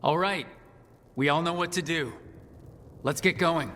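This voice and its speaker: A man's voice: a little high, measured pace, sharp tone, big-room sound, slight background noise. An actor playing a pragmatic, resolute male leader of adventurers in a video game, talking plainly.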